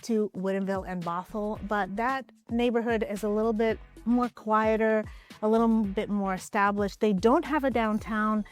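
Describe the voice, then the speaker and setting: A woman's voice: medium pitch, moderate pace. Easygoing woman from Ukraine, faint music. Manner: relaxed, conversational, with frequent hedges. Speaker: real estate agent. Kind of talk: answering interview questions.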